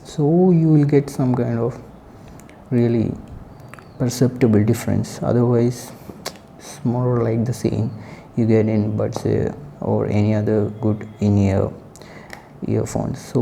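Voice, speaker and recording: A man giving a casual spoken product review in halting short phrases with pauses. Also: medium pitch, steady tone, gravelly, mellow, a little hiss or hum. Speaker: tech reviewer.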